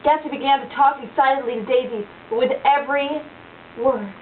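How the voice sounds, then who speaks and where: A woman reading the narration aloud in a measured storybook manner. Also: high-pitched, recorded from a distance. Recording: tinny lo-fi home recording with hiss.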